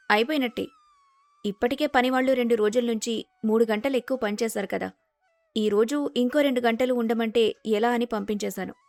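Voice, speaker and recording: A woman playing a sensible, respectful young daughter-in-law who talks calmly and reassuringly in a dramatized story. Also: fairly high pitch, no echo, lightly acted. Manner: polite, calm, reassuring.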